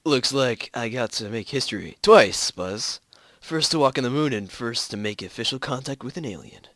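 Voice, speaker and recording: A man's voice: medium pitch, lively intonation, moderate pace, a bit bright. A man playing a cautious astronaut on the moon in an amateur story reading, clipped and hesitant. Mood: wry. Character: tense, cautious male American astronaut on the moon. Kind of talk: amateur group fan fiction reading.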